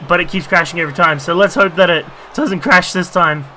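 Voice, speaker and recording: A man commentating his own wrestling video game match, rambling with lots of fillers and bursting into excitement at big moves. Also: sits high, fairly quick, a little hiss or hum. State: losing his voice.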